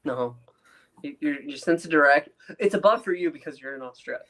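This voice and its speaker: A co-host in a casual review chat, speaking haltingly and dropping in joking asides. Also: mid-range voice, a bit bright, low-quality recording. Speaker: podcast co-host.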